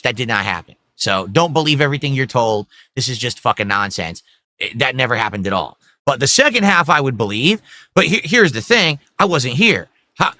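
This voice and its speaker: A man's voice: mid-range voice, bright, forceful tone, no echo. A man livestreaming, talking defiantly and at length to his chat, swearing often and reading out tips. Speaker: streamer.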